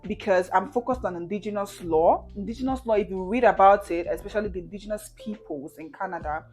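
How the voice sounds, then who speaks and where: Chatty young woman vlogger over soft music. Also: medium pitch, fast. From Nigeria. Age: 20s. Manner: chatty, frequent "you know" and "right?" fillers, laughs often. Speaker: vlogger answering viewer questions. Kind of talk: casual talk to camera.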